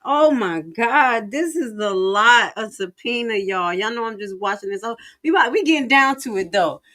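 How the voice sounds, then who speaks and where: An American woman hosting a live reaction stream in casual, colloquial speech with drawn-out, emphatic exclamations. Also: fairly high pitch, animated, sharp tone. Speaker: livestream host.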